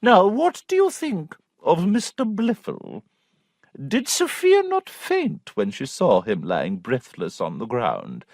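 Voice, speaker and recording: A male narrator voicing a prim, knowing middle-aged gentlewoman aunt, speaking slowly and deliberately with a superior air. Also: on the high side, wildly swooping pitch, creaky, no room sound, clean studio sound, voice pushed up, heavily acted. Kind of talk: audiobook character voice.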